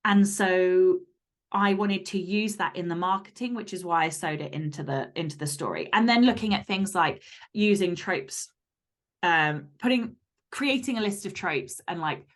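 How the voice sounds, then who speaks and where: A woman's voice: mid-range voice, measured pace. A woman speaking as an author guest in a casual interview, candid and emphatic, with some casual swearing.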